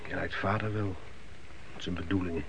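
An actor playing a chatty, scornful young emigrant son in a radio drama, speaking colloquially with repeated words. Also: male voice, deep voice, fairly even intonation, a little fast, creaky. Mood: scolding. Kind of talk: naturalistic radio drama dialogue. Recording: hissy old radio play recording.

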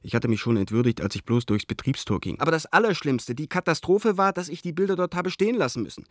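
An actor playing an embittered, indignant painter, venting a letter aloud in an agitated, sardonic and articulate way for a radio play. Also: male voice, fairly high pitch, animated, fast, no echo, clean studio sound. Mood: indignant, bitter.

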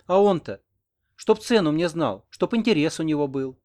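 Man voicing a coquettish, self-assured young village woman, lifted in pitch. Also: lively intonation, moderate pace, darkish tone, natural voice. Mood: proud, coy. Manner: coquettish, teasing. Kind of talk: audiobook character reading.

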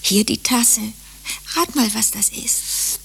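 Eager little schoolgirl, creaky edge. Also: fairly high pitch, slow, a bit bright, slight background noise, exaggerated voice. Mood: eager, hushed. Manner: eager, childlike. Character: eager, devoted young schoolgirl daughter. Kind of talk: radio play acting.

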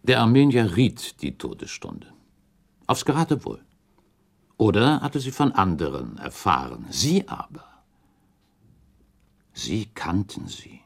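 Shrewd accuser in a radio drama, very low voice, swings around a lot, formal n precise. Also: male voice, wildly swooping pitch, slow pace, vocal fry, pauses often, heavily acted. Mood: accusing, insistent. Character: shrewd male accuser laying out a murder theory, methodical, relentless. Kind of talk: German radio play acting, period dialogue.

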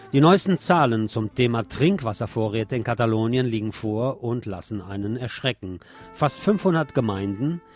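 A man's voice: mid-range voice, darkish tone. A man reading scripted radio news and commentary in a wry, editorializing way. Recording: music bed under the voice.

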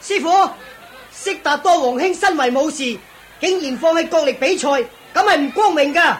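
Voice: high voice, moderate pace, slight background noise, lightly acted. A performer playing an arrogant, spiteful young prince in a radio drama, sneering and combative.

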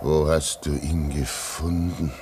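An actor playing a pious, weary old man at a polar station in a German radio play, speaking solemnly and deliberately. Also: rumbling low, flat delivery, noisy background. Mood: grieving, resigned. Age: older.

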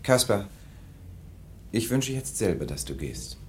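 A suave English lord in a radio play. His voice is fairly low and swoops expressively. He talks with polished condescension and an air of haughty entitlement. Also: sing-song, a little slow, darkish tone, slight background noise. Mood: smooth condescension. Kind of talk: German radio drama acting.

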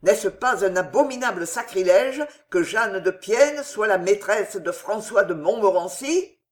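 A reader voicing a frenzied, desperate young nobleman who speaks loudly and vehemently in an old-fashioned storybook. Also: medium pitch, animated, normal speed, natural voice. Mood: furious. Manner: loud, vehement, near shouting.

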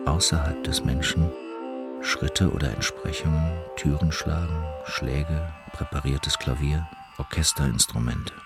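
A man reading a literary text aloud as scripted narration, in a measured, formal way. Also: a bass voice, steady tone. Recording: music playing behind the voice. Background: music.

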